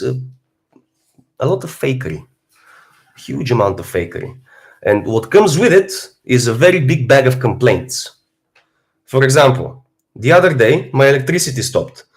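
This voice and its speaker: A man speaks in a rough, hoarse voice from a cold. He talks bluntly and swears freely, musing aloud in a casual livestream monologue as a fitness streamer. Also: medium pitch.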